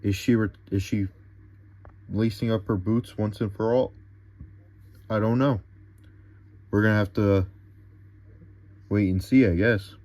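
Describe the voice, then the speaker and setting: A man's voice: on the low side, measured pace, pauses often. A young man recapping a wrestling event in a casual, rambling way, full of fillers and restarts. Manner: excitable, rambling, filler-heavy. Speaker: wrestling show reviewer.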